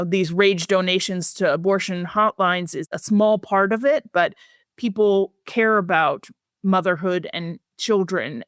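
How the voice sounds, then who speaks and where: A woman with a fairly low voice answers as an expert guest in an interview. She is measured and earnest, choosing her words with care. The sound is dry, with very little room. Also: no room sound. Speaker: guest researcher. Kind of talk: podcast interview.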